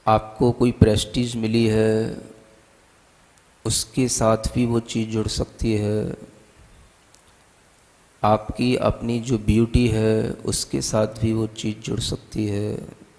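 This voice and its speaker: A man with an Indian accent leading a guided meditation as a spiritual teacher, giving calm, deliberate instructions with long pauses. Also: on the low side. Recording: long hall-like reverb.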